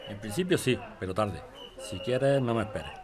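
A man's voice: on the low side, expressive, normal speed, background noise, natural voice. A man playing a dutiful, placating husband with a flirtatious streak in a Spanish audio drama, with a Spanish accent. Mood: calm, businesslike.

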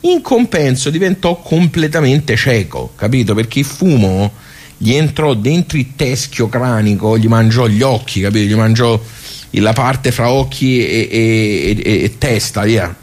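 An actor with a Tuscan Italian accent playing a forgetful, garrulous old village club regular in a radio theatre monologue, rambling, halting and repeating himself colloquially. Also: male voice, mid-range voice, expressive, darkish tone. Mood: amused storytelling. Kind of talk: theatrical vernacular monologue told as casual bar storytelling.

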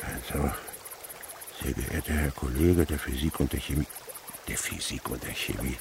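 An actor playing an excitable middle-aged scientist in a radio drama, proud and fatherly, muttering and repeating himself. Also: very deep voice, moderate pace, gravelly, noisy background. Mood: astonished, agitated.

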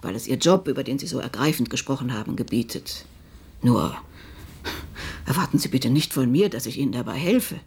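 A woman playing a principled, defiant professional in a German radio drama, speaking firmly and with measured emphasis. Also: rumbling low, lively intonation, a little mellow, breathy edge, full character voice. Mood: quietly defiant.

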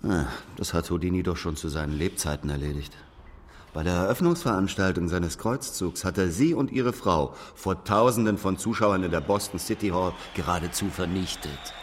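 A man's voice: low voice, moderate pace, in a large hall, a little hiss or hum, natural voice. An actor playing a sceptical investigator in a radio drama, pointed and accusing as he questions and recounts. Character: probing, sceptical male investigator. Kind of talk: radio drama dialogue and narration.

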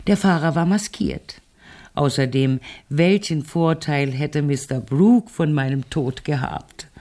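An actress playing a shrewd, imperious, wealthy crime-writing aunt in a German radio drama, condescending and deliberate. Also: female voice, sits low, dark tone. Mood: dismissive.

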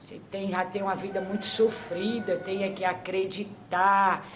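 A woman acting as a medium for an old grandmother spirit, giving slow, repetitive spiritual advice that trails off softly. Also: mid-range voice, little pitch movement, slight background noise, close to an everyday voice. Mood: counseling. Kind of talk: spiritual counseling.

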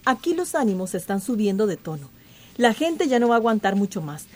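An actress playing a firm but worried small-town mayor on the defensive in a radio drama. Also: female voice, mid-range voice, very animated, fairly quick, darkish tone.

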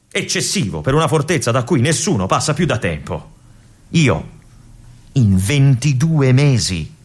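An actor playing a young army lieutenant at a remote fortress in a theatrical radio monologue, introspective and questioning himself, sometimes dropping to a whisper. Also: male voice, fairly low pitch, darkish tone, husky. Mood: brooding.

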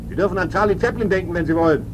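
A man's voice: high voice, rapid-fire, natural voice. A man playing a courteous, chatty airline pilot making an in-flight announcement in a radio play. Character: genial male airline pilot addressing his passengers. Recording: very noisy, engine hum of an aircraft. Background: loud humming vehicle engine noise.

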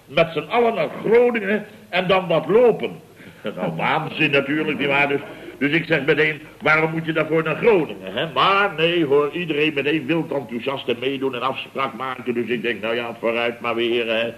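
A man acting a self-important Dutch building contractor in an old radio comedy. He blusters and boasts, repeats himself as he stammers along, and chuckles at his own mock indignation. His voice sits at a middling pitch. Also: normal speed, fairly bright tone, natural voice, rough recording. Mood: blustering.

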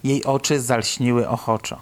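A man's voice: mid-range voice, steady tone, moderate pace. A man reading scripted, literary narration for an audio drama.

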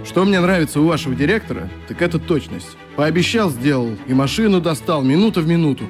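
Man as teenage boy leader, decisive, commanding, slightly high voice, radio drama, faint music. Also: animated, fast, close to an everyday voice. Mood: easy matter-of-fact. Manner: commanding, matter-of-fact. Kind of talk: radio play acting.

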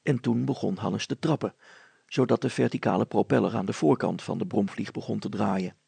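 A man reading a story aloud as an expressive narrator. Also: on the low side, fairly quick, vocal fry, breathy edge, dry recording.

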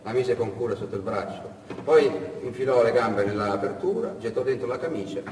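Casting: male theatre narrator, emphatic and paused, middle register, echoey room with faint noise. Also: mid-range voice, a little mellow. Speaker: actor and storyteller. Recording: echoey hall, distant mic, faint noise.

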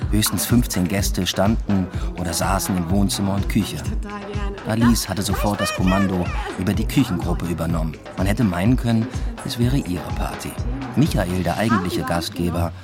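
A low-pitched actor as a male lecturer leading a double life tells his story in a literary radio play. He is dry, introspective and evasive, with clear music behind him. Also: natural voice. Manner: dry, matter-of-fact, introspective. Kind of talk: literary radio drama first-person narration.

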